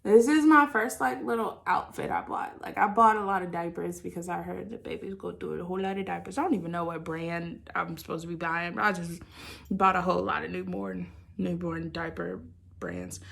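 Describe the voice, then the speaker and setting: A woman's voice: on the low side, lively intonation, normal speed, vocal fry, fairly bright tone. A woman talking informally and candidly to her viewers, like a chatty personal video diary. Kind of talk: casual confessional chat to viewers.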